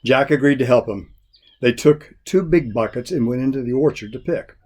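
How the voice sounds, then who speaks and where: A man reading a children's folk tale expressively, in a folksy, deliberate storyteller's manner. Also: mid-range voice, darkish tone. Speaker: story reader.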